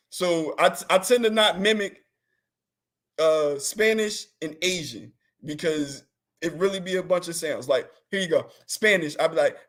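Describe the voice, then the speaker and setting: A man's voice: high voice, takes it slowly, pauses often, a bit bright. A man hosting a show, chatting casually and comically, slangy and rambling, often laughing. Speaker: host. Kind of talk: comedic casual chat.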